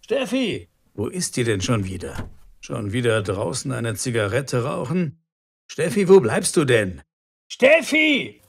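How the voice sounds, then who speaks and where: Man playing a lively, stressed restaurant cook. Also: medium pitch, measured pace, vocal fry, slight background noise, heavily acted. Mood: exasperated. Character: stressed, exasperated male restaurant cook short of staff. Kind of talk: scripted language-lesson dialogue.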